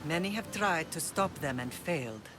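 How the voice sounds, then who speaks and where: An actress playing a middle-aged mother who leads a Greek island, speaking in a measured, earnest, formal way in a dramatic game scene. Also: low-pitched, animated, rough recording. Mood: grave resolve. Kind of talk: dramatic game cutscene acting. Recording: music behind the voice.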